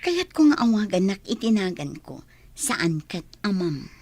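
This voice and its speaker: Lively, headstrong boss's daughter. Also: female voice, mid-range voice, unhurried, no room sound. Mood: insistent. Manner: coaxing, headstrong, emotive. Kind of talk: Philippine radio drama acting.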